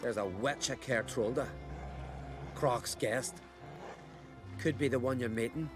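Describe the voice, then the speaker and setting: A man playing a rough, scornful island seafarer and scavenger in a fantasy game, talking in a blunt, salty, folksy way. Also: medium pitch, unhurried, over music, lightly acted. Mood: scornful, gossiping. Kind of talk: cinematic game dialogue.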